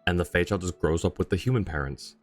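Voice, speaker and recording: A man livestreaming a game, casually commenting and joking with viewers in a halting, self-interrupting way. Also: low-pitched, soft background music.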